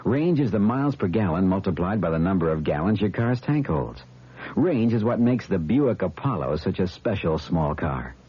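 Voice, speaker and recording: Deep, husky American commercial announcer. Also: male voice, sing-song, normal speed. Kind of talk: measured scripted 1970s radio ad read. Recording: muffled old radio broadcast.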